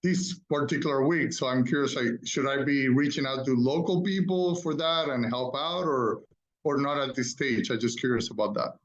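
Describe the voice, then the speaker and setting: A man's voice: mid-range voice, moderate pace. A man from the Republic, casually and appreciatively asking questions as a participant on an online call. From Dominican Republic. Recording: dull, lo-fi online call audio.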